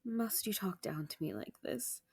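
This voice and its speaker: Proud, defiant female vampire for audio roleplay, played by a woman: husky, animated, formal and combative. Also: mid-range voice, unhurried, heavily acted. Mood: indignant, bitter. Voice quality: raspy, gravelly. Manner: formal, haughty, argumentative. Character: defiant, bitter female vampire warrior, proud former farm girl. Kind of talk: dramatic audio roleplay acting.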